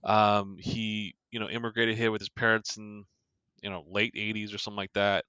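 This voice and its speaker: A man's voice: on the low side, level tone, normal speed, pushed and bright, smooth, no echo, lo-fi sound. A man co-hosting a casual movie podcast, talking in a rambling way with lots of fillers and restarts. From Korea. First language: Korean. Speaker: podcast co-host.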